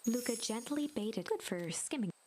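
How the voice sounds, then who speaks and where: A woman narrating a gentle fairy tale in a video game, in a storybook style. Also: on the high side, animated, moderate pace, background noise, close to an everyday voice. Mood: gentle storytelling.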